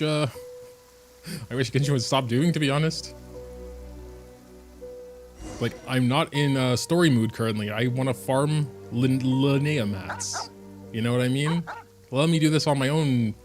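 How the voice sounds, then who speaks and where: A man from Germany with a German accent, a native German speaker, rambling and thinking aloud in casual live-stream commentary while he plays. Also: mid-range voice. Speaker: game streamer.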